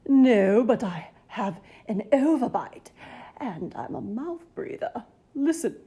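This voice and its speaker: A woman voicing a polite, bookish male monster for a children's storybook, with a breathy voice and a formal, courteous manner. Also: very animated, slow, mellow, heavily acted. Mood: courteous, earnest. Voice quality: low, breathy.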